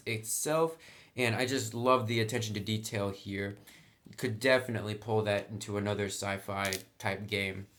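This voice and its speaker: A man with a slightly low voice talks through a product review in a casual way. He explains things step by step like a hobby reviewer, sounding keen on the subject. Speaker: tabletop game reviewer.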